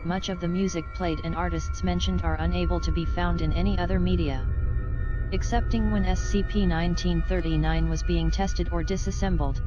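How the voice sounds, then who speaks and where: A woman's voice: on the low side, muffled recording. A woman reading a scripted document as narrator, in a formal, clinical manner. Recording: music behind the voice. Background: prominent music.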